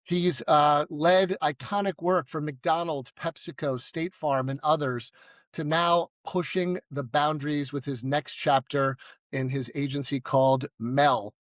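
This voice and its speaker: A man's voice: a little high, steady tone, a little slow, no echo. A man hosting a podcast, introducing his guest and asking questions with an upbeat, emphatic delivery. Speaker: podcast host.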